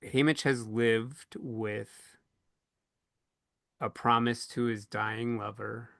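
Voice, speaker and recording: A man's voice: mid-range voice, fairly even intonation, slow pace, gravelly, a bit bright, no room sound. Man hosting a podcast, close dry sound. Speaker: podcast host moderating a panel. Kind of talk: casual panel discussion.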